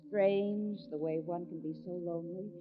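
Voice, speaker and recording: A woman's voice: on the low side, steady tone, moderate pace, over music, lightly acted. An actress playing a lonely, sheltered and polite young woman in 1940s radio drama style. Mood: wistful. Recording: tinny narrow-band 1940s radio recording.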